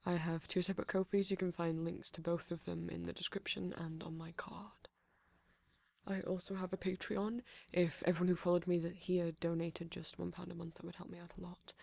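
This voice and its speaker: An audio roleplay creator reading a scripted channel outro and credits in a steady, list-like delivery. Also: medium pitch, gravelly, no echo.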